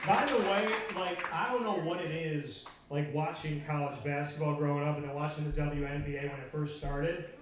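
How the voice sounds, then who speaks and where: A man hosting a casual live on-stage interview, joking and encouraging in an animated way. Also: on the high side, normal speed, few pauses, a little hiss or hum. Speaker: show host. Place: pub stage, in front of an audience. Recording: echoey pub, distant mic, crowd chatter.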